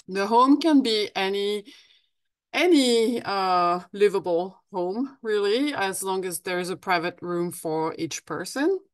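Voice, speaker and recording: A woman's voice: fairly low pitch, dry recording. A woman from France with a French accent, giving a friendly, practical presentation over a video call with plenty of fillers and restarts. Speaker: guest presenter.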